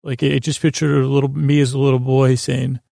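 Very creaky male podcast host, rambling. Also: medium pitch, flat delivery, mellow, no room sound, studio quality. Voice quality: creaky, gravelly. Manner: meandering, halting, stammering and repeating himself; lulling, soothing. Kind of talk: rambling bedtime sleep-podcast monologue.

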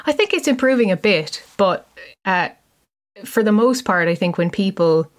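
A woman from Limerick with a soft, neutral Irish accent, talking in a reflective, thoughtful way. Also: mid-range voice, expressive, moderate pace. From Limerick, Ireland. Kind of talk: casual chat.

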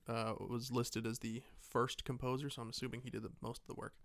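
A man's voice: medium pitch, level tone, brisk, creaky, no echo. Irreverent guy in a dry, clean recording. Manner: irreverent, mocking, mimics characters' lines. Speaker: podcast co-host. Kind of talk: casual film recap chat with acted-out dialogue.

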